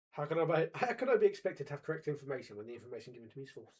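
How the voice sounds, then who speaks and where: A man of forty co-hosting a casual chat, wry and pedantic, quick to argue a point. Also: on the high side, breathy edge. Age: 40s. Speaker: podcast co-host.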